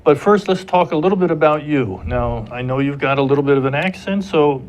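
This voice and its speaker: A man's voice: on the high side, fairly quick, a little hiss or hum, rough recording. An older man hosting a business talk show from a TV studio, friendly and a little hesitant. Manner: hesitant, friendly, many fillers.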